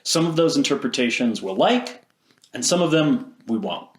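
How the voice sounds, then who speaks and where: A man's voice: mid-range voice, big pitch swings, moderate pace. An American man co-hosting a video essay with scripted narration, recorded with audible room echo. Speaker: video essay host and teacher.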